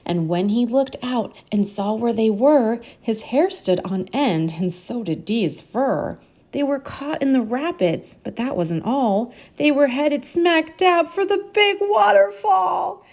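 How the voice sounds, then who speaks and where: A woman reading a rhyming children's picture book aloud in an expressive storytelling style, drawing out key words. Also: high-pitched, takes it slowly. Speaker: storybook reader and host.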